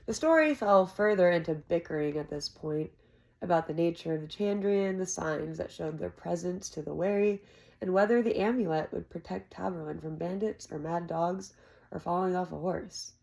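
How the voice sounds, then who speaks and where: An American woman reads fantasy fiction aloud. Her voice sits a little low with a noticeable creak, and the narration is expressive and storylike. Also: moderate pace. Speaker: amateur audiobook reader.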